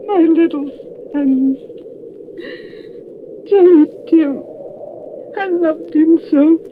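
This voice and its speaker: Slow, high-voiced grieving mother. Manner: mournful, drawn-out delivery. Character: grieving, gentle mother and wife. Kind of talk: 1930s radio drama acting. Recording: tinny, noisy old radio broadcast.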